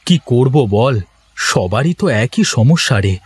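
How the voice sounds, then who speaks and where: A man's voice: mid-range voice, sing-song, unhurried, slightly rough, no room sound, pitched below the performer's own voice, exaggerated voice. A man voicing a hearty, familiar village farmer, an elder-brother type, in a moral-story cartoon with folksy talk. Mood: friendly.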